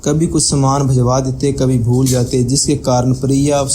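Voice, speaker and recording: Mellow male storyteller over background noise. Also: mid-range voice, flat delivery, normal speed. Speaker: narrator. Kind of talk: scripted storytelling narration.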